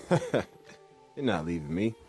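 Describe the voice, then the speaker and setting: A man acting a possessive, mocking, menacing boyfriend with a rough voice in an audio drama. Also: deep voice, big pitch swings, takes it slowly, dark tone, full character voice. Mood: mocking, incredulous. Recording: music behind the voice.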